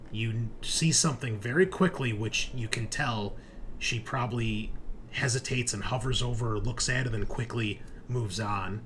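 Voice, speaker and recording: A man's voice: mid-range voice, a bit bright. Man game-mastering over some background noise. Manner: explanatory, conversational. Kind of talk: narrating and refereeing an online tabletop roleplaying game. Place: indoors at a computer, online game session. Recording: background noise with keyboard typing.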